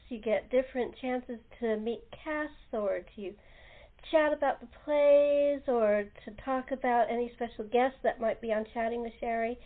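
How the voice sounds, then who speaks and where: A woman hosting a podcast in a casual, chatty style over a thin phone-like line. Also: fairly high pitch. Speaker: podcast host. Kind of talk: casual chat. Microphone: remote call.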